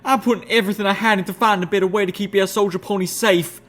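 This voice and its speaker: A man voicing a fiery, proud country mare with a drawl, shouting in strained, indignant outbursts. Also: on the high side, sing-song, a little fast, pitched above the performer's own voice. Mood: furious. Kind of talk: audiobook reading.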